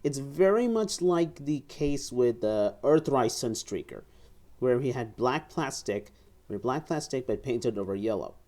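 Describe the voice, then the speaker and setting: A man reviewing and demonstrating a toy at a table indoors, talking haltingly and often repeating words and phrases. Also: mid-range voice, animated, warm tone.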